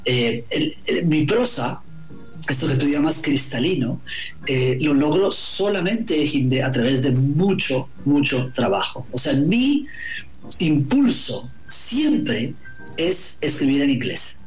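A man's voice: a little high, very animated, slow pace, darkish tone, faint music behind. A middle-aged man from Guatemala, an author being interviewed, speaking reflectively and often repeating words as he searches for the right phrasing. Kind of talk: reflective conversation. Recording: roomy echo.

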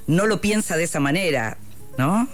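A man's voice: a little high, expressive, soft background music. A man interviewing a writer, phrasing his questions carefully and answering back with "claro". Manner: measured, searching phrasing, comes back with "claro".

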